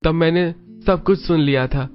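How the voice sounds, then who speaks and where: A man voicing a gentle, dutiful young Indian son, earnest and respectful, in a cartoon family drama. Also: a little high, normal speed, highs cut off, faint music behind, close to an everyday voice.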